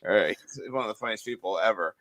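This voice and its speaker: A man with a raspy voice co-hosting a casual fantasy sports show, joking around and talking in loose restarts. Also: fairly low pitch, fast. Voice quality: raspy today. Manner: animated, jokey, rambling with restarts. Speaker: co-host.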